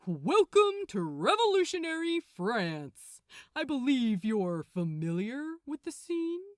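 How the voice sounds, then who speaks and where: A woman's voice: sits high, sing-song, slow pace, dry recording, clean studio sound, heavily acted. A woman voicing an exasperated male archangel guide in a storybook reading, matter-of-fact and theatrically superior. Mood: exasperated, showy.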